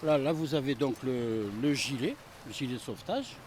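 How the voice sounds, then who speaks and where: A man's voice: mid-range voice, animated, moderate pace, a little mellow, a little hiss or hum, close to an everyday voice. A man playing a rambling, hot-tempered boat owner who talks with a strong accent in a comedy film. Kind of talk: burlesque comedy film acting.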